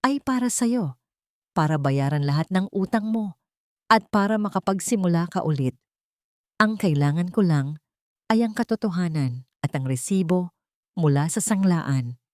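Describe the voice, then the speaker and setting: A woman voicing a calm, stern wealthy husband in an audio drama, speaking in a measured, heavy way. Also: fairly low pitch, dry recording, studio quality, lightly acted. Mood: calm, firm.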